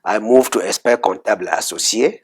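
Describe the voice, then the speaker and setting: A middle-aged man from Cameroon, a guest in an interview, talking in a measured, soft-spoken way with frequent hesitations. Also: lowish voice, slightly rough. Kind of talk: interview conversation.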